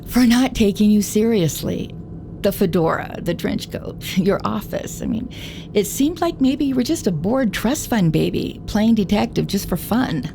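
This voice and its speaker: Detective's love interest, candid n teasing, bit of vocal fry, noisy ambience behind her. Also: mid-range voice, lively intonation, unhurried, warm tone. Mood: apologetic. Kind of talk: radio drama dialogue.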